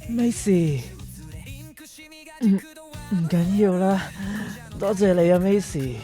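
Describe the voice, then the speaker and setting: A woman acting a lovestruck, excitable and cheeky teenage schoolboy in a youth radio drama, with a Hong Kong accent. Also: fairly low pitch, takes it slowly, soft background music. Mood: stunned, elated.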